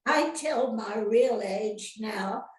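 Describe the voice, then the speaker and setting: An older woman, an actress reminiscing casually in an interview, rambling and halting, often turning questions on her listeners. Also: on the high side. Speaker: retired actress being interviewed. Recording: roomy, echoey sound.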